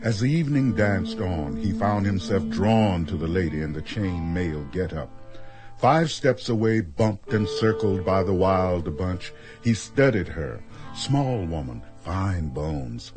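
A radio play storyteller with a very deep voice. His delivery is literary and descriptive, set over clear music. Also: lively intonation, measured pace. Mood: evocative. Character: smooth third-person male storyteller. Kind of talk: scripted narration, radio drama.

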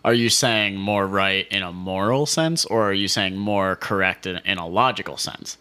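Male podcast co-host, lowish pitch, slangy and informal, chatting casually and jokingly. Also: normal speed, pushed and bright, clean tone. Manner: informal slangy speech, says "dude" and "like" often. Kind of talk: casual comedic podcast chat.

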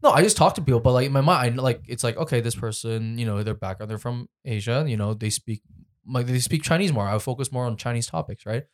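A man chatting casually with friends, his voice sitting in an ordinary middle register. He talks at length, circling back and restarting phrases as he reaches for what he means, and gets more animated as the talk goes on. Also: mid-range voice, fast. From Hong Kong. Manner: talkative, restarts often, animated when laughing. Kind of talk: casual group chat.

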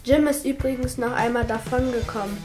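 Higher-voiced female radio play narrator. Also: fairly even intonation, a little fast, over music.

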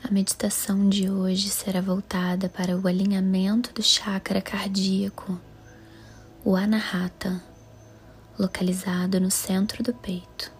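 A Brazilian Portuguese woman leads a guided meditation in a calm, soothing, measured way, her voice soft, breathy and half-whispered. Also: mid-range voice, a little hiss or hum. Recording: music under the voice. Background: music.

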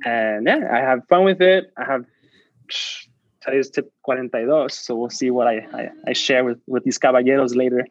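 A man's voice: medium pitch, normal speed, dull audio. A man whose first language is Spanish, a podcast guest talking earnestly and motivationally, often restarting and repeating words. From United States. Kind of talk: casual interview chat.

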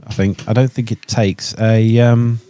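A man's voice: fairly low pitch, level tone, a little slow, mellow, clean tone, no room sound. A man chatting casually as a podcast panellist, hesitant and repeating his phrases as he recommends things. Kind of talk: casual chat.

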